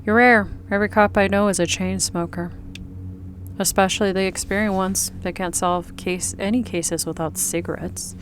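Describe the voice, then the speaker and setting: A woman voicing a sociable, no-nonsense small-town doctor in a visual novel, measured and conversational. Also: mid-range voice, steady tone, close to an everyday voice. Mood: friendly, chatty. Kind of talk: visual novel dialogue read aloud. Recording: clear background noise.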